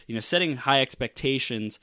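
Guy hosting a webcam interview, smooth clear voice, super dry close mic. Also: mid-range voice, lively intonation, a little fast, sharp tone, dry recording. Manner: encouraging, backchannels often, restarts questions. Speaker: podcast host. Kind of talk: interview on video call. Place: small office indoors. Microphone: close desk mic on video call.

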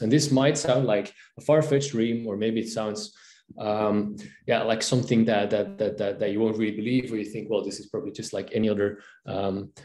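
A man's voice: on the low side, warm tone. A man in his thirties from Belgium, a Dutch native speaker with a Belgian Dutch accent, giving an online language-learning talk over a video call, friendly and full of fillers and restarts. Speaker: language teacher and presenter. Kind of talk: online conference presentation. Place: home room, video call. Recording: echoey room.

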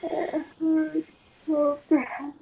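A woman's voice: high voice, completely flat delivery, extremely slow, vocal fry, muffled recording, exaggerated voice. A woman playing a whiny, clingy young girlfriend who is hurting, in an amateur ASMR couple roleplay, on played-back video audio. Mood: whiny, pained.

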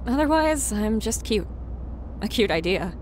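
A woman's voice: mid-range voice, big pitch swings, unhurried, darkish tone, a little hiss or hum. A woman playing a stubborn, flustered young adult pony mare in an audio drama, speaking in an earnest, emphatic way. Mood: frustrated, defiant.

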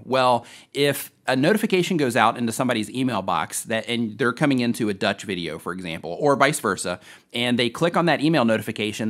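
A man in his forties, hosting a scripted question-and-answer tutorial to camera in a small dark studio. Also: medium pitch. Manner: upbeat, lively, emphatic explainer. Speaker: host.

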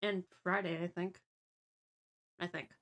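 A woman's voice: on the low side, steady tone, a little slow, a bit bright. A woman hosting a livestream, chatting casually and playfully with her co-host and viewers.

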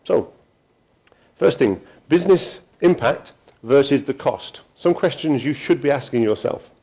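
A middle-aged man giving a technical conference talk in a hall, pausing often with ums and restarting sentences. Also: medium pitch, animated, dark tone. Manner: halting, many ums and restarts. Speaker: conference speaker.

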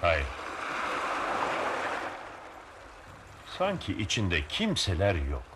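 An actor playing a calm, kindly ship's captain in a dubbed cartoon, authoritative and measured. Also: male voice, low-pitched. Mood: watchful. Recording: noisy, lo-fi cartoon soundtrack.

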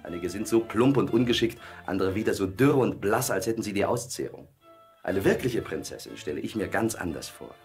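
An actor playing a picky, earnest young prince in a fairy-tale radio play. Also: male voice, on the low side, expressive, a little fast, darkish tone, soft background music. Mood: disdainful.

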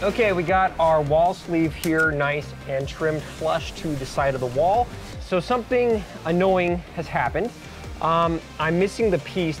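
High-voiced chatty man doing DIY. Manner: chatty and joking, with fillers. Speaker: DIY video host. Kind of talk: casual how-to narration. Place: garage and outdoors beside a house.

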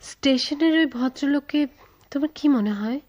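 A woman's voice: sits high, moderate pace, darkish tone, lightly acted. Radio drama heroine female actor: young, tender, fearful eloping lover, Bangladeshi accent, theatrical, slightly dull lo-fi audio. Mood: anxious.

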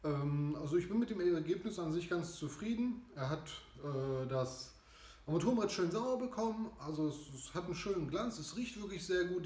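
A young man hosting a casual product review in his home garage, relaxed and chatty with frequent fillers. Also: a little high, slightly rough. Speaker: car care channel host. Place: small home garage, indoors.

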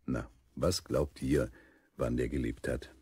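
An actor playing a fatherly narrator in a children's radio play, telling the story in a deep, husky voice. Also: fairly even intonation, slow pace, dark tone, dry recording, heavily acted. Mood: storytelling.

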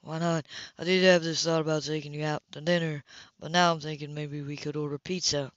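Possessive male romance hero voiced by a woman, direct, seductive, lowered pitch, dull sound. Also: steady tone, fairly quick, no echo, natural voice. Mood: seductive, intense. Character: hot-tempered, possessive male lover in a romance novel. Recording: dull lo-fi home recording.

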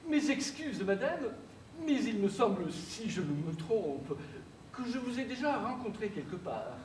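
A man playing a stiffly formal gentleman. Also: high voice, sing-song, slight background noise. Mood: mannered politeness. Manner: ceremonious, halting, overpolite. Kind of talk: absurdist stage acting. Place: theatre stage, seated in armchair. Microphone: far room mic. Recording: echoey stage from far off.

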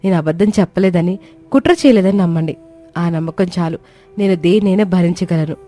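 A woman's voice: lowish voice, warm tone, soft background music, close to an everyday voice. Woman as a dignified, patient young daughter-in-law. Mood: hurt, resolute. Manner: polite, measured, firm. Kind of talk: dramatized animated moral story.

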